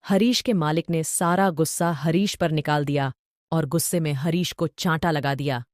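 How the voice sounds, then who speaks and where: A woman tells a moral story in a low, mellow voice with a clear, steady delivery. The recording is dry and close. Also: normal speed, no room sound. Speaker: narrator. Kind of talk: scripted story narration.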